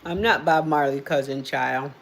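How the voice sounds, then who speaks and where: A woman's voice: sits low, normal speed, creaky. A woman with a Jamaican accent, raised in the United States, chatting casually with viewers on a live stream and answering their comments with easy laughter.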